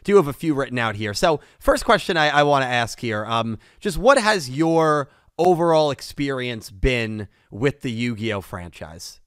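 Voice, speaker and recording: A man's voice: medium pitch, lively intonation, moderate pace, few pauses, a bit bright, clear, resonant tone, no room sound, clean studio sound. A young man hosting a podcast, interviewing a guest in a casual, enthusiastic way and laughing often. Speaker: podcast host.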